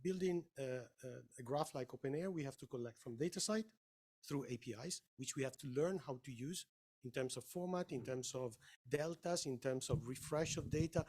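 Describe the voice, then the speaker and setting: A man's voice: medium pitch, normal speed, darkish tone. A man presenting on a conference panel, explaining emphatically and checking in with his listeners. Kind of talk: conference Q&A answer.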